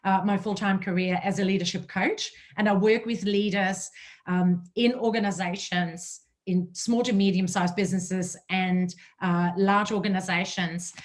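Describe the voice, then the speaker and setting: A woman in her forties from Germany with a German accent, presenting a webinar as a leadership coach, enthusiastic and fluent. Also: mid-range voice.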